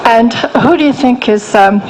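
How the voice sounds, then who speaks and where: Older woman with a soft voice, gentle and frank, pausing and restarting; interview guest, faint hum behind. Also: a little high, poor audio. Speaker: guest author. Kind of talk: interview, personal story.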